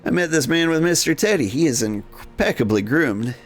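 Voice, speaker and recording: A man's voice: a little high, animated, moderate pace, soft background music, pitched above the performer's own voice, close to an everyday voice. A man voicing a polite, naive robot in a video game, speaking in formal, precise wording. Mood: observing. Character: polite, naive, literal-minded robot seeking work. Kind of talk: character voice in a game playthrough.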